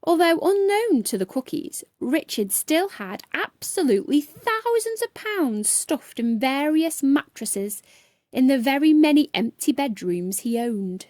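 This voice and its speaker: A woman reads a children's storybook aloud, expressively and with dramatic, deliberate emphasis. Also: high voice, sing-song, a little mellow, no room sound, clean studio sound. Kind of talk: expressive bedtime story narration.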